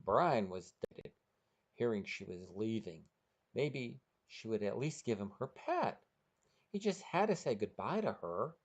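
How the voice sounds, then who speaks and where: A man's voice: lowish voice, very animated, unhurried, husky. A man hosting a podcast and narrating a short story from a script.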